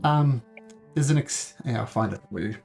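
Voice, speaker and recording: A man's voice: medium pitch, normal speed, gravelly, music in the background. A man from New Zealand with a New Zealand accent tinged with North American, speaking earnestly as dungeon master over a voice call, with frequent ums and restarts. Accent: New Zealand mixed with North American. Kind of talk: explaining game rules to players. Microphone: voice call microphone.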